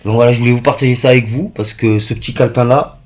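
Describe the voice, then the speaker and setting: A man's voice: mid-range voice, fairly even intonation, fast, darkish tone. A man from France, talking casually and earnestly to the camera as a vlogger, repeating phrases for emphasis. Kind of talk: casual vlog chat to camera.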